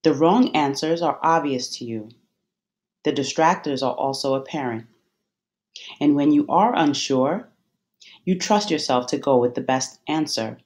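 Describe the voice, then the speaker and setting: A meditation guide with a medium-pitched voice speaks in a soothing, instructional way. Phrases are measured and set apart by deliberate pauses, in the manner of a guided visualization. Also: darkish tone.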